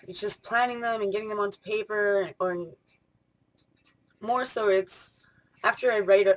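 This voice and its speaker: A woman in her late twenties rambles casually to her camera, full of ums and restarts. Her pitch sits in an ordinary middle range, and she talks on a camera mic, probably at home. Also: rough recording. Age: 20s. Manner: rambling, spur-of-the-moment talk with many fillers. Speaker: vlogger.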